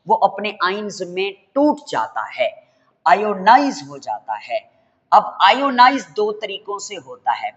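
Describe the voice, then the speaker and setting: A hoarse man, teaching a chemistry lecture and calling his students children in a fatherly way. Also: high voice, a bit bright, long reverb tail. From Pakistan. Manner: fatherly, addresses students as children, mixes English terms. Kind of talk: classroom lecture.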